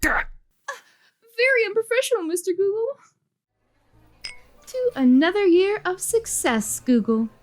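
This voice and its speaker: A woman's voice: very high-pitched, lively intonation, measured pace, pauses often. A woman voicing a prim, polite, kindly businesswoman in an amateur webcomic dub. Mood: prim, cordial.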